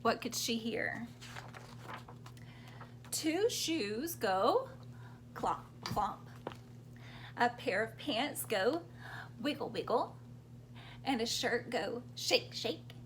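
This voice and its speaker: A woman's voice: on the high side, very animated, drawn-out words, pauses often, a bit bright, slight background noise. A woman reading a story aloud to young children expressively, prompting them to join in as she goes. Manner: interactive, prompting children with questions and sound words.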